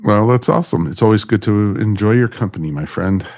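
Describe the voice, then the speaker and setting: Male podcast host, lowish voice, chummy and informal. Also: a little fast, a little mellow. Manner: chummy, thanks and addresses people as friends. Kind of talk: casual chat, wrapping up a podcast.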